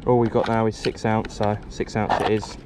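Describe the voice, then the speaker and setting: A man's voice: on the low side, normal speed. A man with an English accent on a seawall, casually talking through each step of his fishing setup. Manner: relaxed, talks through each step as he works. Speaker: angler vlogger. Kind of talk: casual how-to narration. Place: outdoor concrete seawall by the sea. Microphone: head-mounted action camera. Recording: outdoor wind and sea noise.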